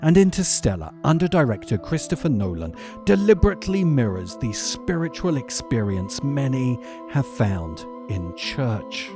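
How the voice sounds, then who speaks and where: A man hosting a video essay, delivering scripted commentary in a dry, deliberate way with dramatic emphasis. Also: mid-range voice, sing-song, music in the background. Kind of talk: scripted narration, film commentary.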